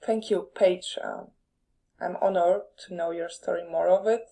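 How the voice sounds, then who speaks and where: Host: woman with a Polish accent, slow and gravelly, chatty, greets listeners by name. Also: on the low side, steady tone, mellow. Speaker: podcast host. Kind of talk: hosting a live online audio event.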